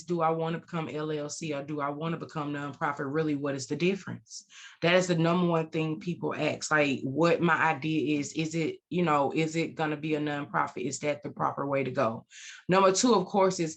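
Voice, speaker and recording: A woman speaking as an interview guest, a consultant and trainer explaining and promoting her services over a video call. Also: sits low.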